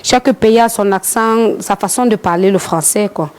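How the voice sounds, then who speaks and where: Cameroonian woman, radio co-host, mid-pitched voice, quick to agree and chime in. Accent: Cameroonian French. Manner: agreeing, completing her partner's sentences. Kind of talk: live studio talk show.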